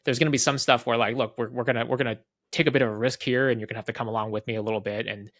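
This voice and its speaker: A man speaking as an interview guest, explaining casually in halting phrases with repeated words and frequent checking tags. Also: medium pitch, fairly even intonation, a bit bright, no room sound, clean studio sound. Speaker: interview guest, head of product at a software company.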